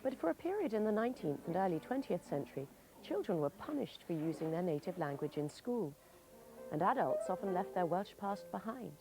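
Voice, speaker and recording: A woman giving measured, formal, scripted narration for a documentary. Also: fairly low pitch, very animated, over music, low-quality recording.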